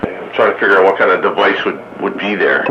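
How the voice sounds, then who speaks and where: Low-voiced American man on staticky radio. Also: quick pace, highs cut off, background noise. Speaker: radio hobbyist in a group chat. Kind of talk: casual two-way radio chat. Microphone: two-way radio, recorded from a receiver stream.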